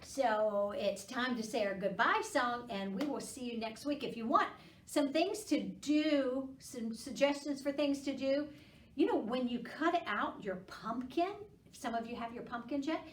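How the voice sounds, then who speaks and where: A woman hosting a library storytime, reading rhymes to children with an expressive, encouraging, singsong delivery. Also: high-pitched, measured pace, a little hiss or hum. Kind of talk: children's storytime read-aloud with rhymes and guessing prompts. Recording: roomy echo, distant microphone.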